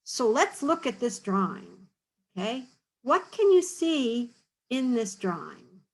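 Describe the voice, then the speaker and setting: A woman's voice: fairly high pitch, very animated, takes it slowly. A woman reading a picture book aloud to children, stopping to ask questions and explain in a teacherly, encouraging way. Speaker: read-aloud storyteller for children.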